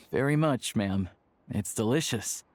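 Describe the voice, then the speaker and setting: An actor playing a calm, caring, somber man in a video game, talking in a measured, subdued way. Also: medium pitch, a little hiss or hum. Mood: gentle concern.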